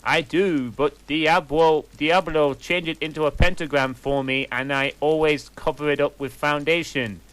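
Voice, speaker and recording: A man's voice: a little high, fairly even intonation, measured pace, hardly any pauses, fairly bright tone, voice pushed up, low-quality recording. A man voicing a melodramatic teenage goth boy vampire, whiny and overwrought.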